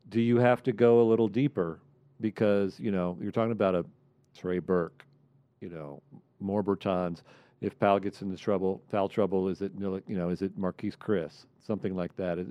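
A man on a sports roundtable giving casual, analytical commentary in a measured way. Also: fairly low pitch, dry recording, highs cut off. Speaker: sports panelist.

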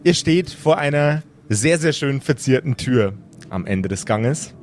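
A man's voice: medium pitch, expressive, a little slow, a little mellow. A man narrating an improvised tabletop adventure as game master.

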